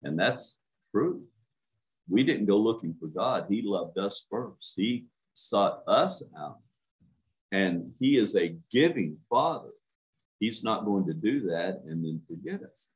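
A man's voice: lowish voice, expressive, takes it slowly, poor audio. An older man, a minister, preaching a sermon to a home church over a webcam, earnest and emphatic, stretching out key words.

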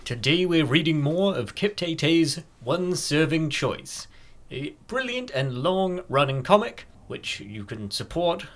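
A man's voice: fairly high pitch, sing-song, a little slow. A man hosting a comic dub, giving introductions and commentary.

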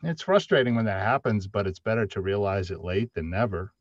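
A man's voice: fairly low pitch, expressive, brisk, no echo. A middle-aged man giving his views emphatically as a panelist in an unscripted discussion over a video call. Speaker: panelist, audio drama writer. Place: small room at home, indoors. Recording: dull, dry video-call audio.

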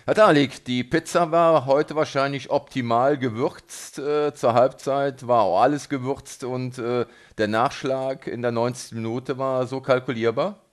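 Halting male sports radio host. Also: medium pitch, fairly even intonation. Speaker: radio host interviewing a football coach. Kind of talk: local sports radio interview.

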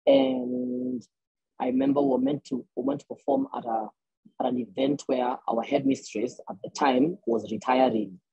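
A Kenyan man in his thirties talks on a video call through earbuds, his voice gravelly and husky. He rambles warmly through his answers, restarting phrases often. The sound is dry and close. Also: medium pitch, expressive, normal speed, no echo, highs cut off. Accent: Kenyan English. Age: 30s. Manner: rambling, many restarts and fillers, laughs easily. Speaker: guest musician. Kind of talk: conversational interview answers. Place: small room indoors.

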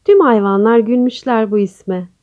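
A woman storyteller reading a scripted children's bedtime tale in a gentle, expressive manner. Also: fairly high pitch, normal speed.

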